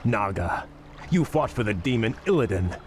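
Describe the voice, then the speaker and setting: A proud elven prince for a game, deep, cultured, bitterly wry. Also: male voice, big pitch swings, moderate pace, slight background noise. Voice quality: refined, dry and sardonic. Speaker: prince and field commander. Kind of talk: video game cutscene voice acting.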